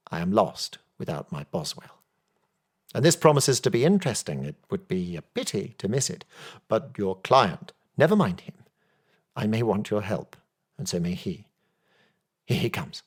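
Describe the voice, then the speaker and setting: Man acting as brilliant male detective, confident, theatrical, emphatic delivery, husky tone, storybook reading. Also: fairly low pitch, sing-song. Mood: eager, assured. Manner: brisk, emphatic, self-assured.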